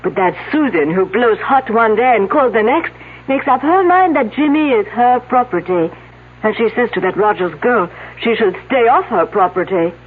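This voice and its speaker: A woman's voice: fairly high pitch, animated, normal speed, husky. An actress playing a reserved woman witness in a mystery drama, confiding primly in formal, slightly stilted phrases. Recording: thin, phone-like old broadcast audio.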